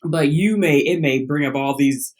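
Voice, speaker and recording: Higher-voiced man with a mild stutter. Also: normal speed. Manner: repeats words, checks in with "right" and "you know". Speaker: interview guest, teacher and coach. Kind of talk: conversational personal explanation.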